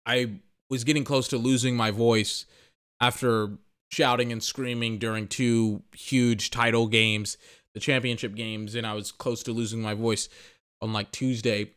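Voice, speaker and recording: A young man with a smooth, resonant voice runs a sports podcast in loose, ranting commentary. He talks emphatically, circles back over his phrases and swears freely. He sounds a little tired. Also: medium pitch, level tone, a little slow, fairly bright tone.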